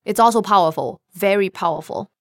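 Clear-voiced woman with Cantonese accent. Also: medium pitch, a bit bright, no echo. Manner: careful slow model pronunciation. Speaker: language lesson co-host. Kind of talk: scripted language lesson. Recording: dry studio recording.

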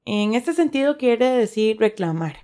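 A woman's voice: on the high side, expressive, measured pace, dark tone. A woman co-hosting a language lesson, presenting words and example sentences.

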